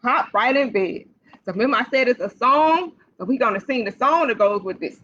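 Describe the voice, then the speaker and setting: A woman hosting storytime for young children, reading aloud in an animated, sing-song way and putting questions straight to the kids. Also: fairly high pitch, a little fast, a little hiss or hum. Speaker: library storytime host for children. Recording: dull, lo-fi livestream sound.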